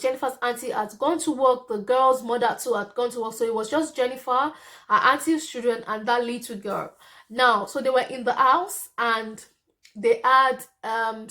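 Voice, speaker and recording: High-voiced Nigerian woman telling a story. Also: a bit bright. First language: Yoruba. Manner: chatty, often tags "okay?" to check in. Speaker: vlogger. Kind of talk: casual storytelling to camera.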